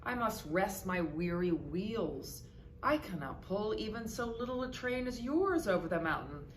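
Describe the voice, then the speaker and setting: A woman's voice: mid-range voice, sing-song. A woman voicing a tired, rusty old male engine in a storybook, sighing and defeated. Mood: weary. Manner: slow, weary, sighing. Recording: roomy echo with background noise.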